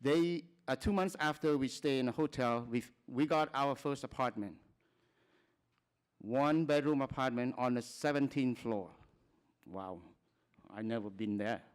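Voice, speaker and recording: Smooth-voiced man from Cambodia, halting. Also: mid-range voice. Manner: plain, halting talk with frequent restarts and short laughs. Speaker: conference speaker. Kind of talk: personal testimony at a conference.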